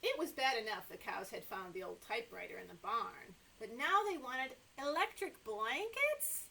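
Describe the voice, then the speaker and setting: A woman's voice: a little high, sing-song, normal speed, gravelly, pushed and bright, slight background noise, low-quality recording. A middle-aged woman hosting story time for small children, reading aloud and chatting in an exaggerated sing-song way. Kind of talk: read-aloud story time for toddlers, talking to young children. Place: small indoor room with sofa and toys.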